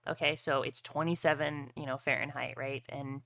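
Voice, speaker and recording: A man's voice: mid-range voice, little pitch movement, normal speed, creaky, pushed and bright. A man narrating a tabletop roleplaying game as game master, talking casually and crudely.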